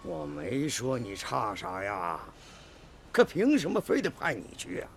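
A brusque foreman in a radio drama. His voice is creaky yet lively, curt and in charge, over noticeable workshop noise. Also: mid-range voice, unhurried. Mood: dismissive. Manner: curt, authoritative. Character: brusque, dismissive male factory team foreman. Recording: clear workshop background noise.

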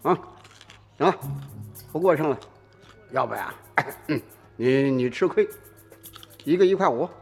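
Scrapyard boss: shrewd, canny, very varied intonation, radio drama with music under him. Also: male voice, medium pitch, animated, unhurried, pauses often, slightly rough. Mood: knowing. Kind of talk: Chinese radio drama acting.

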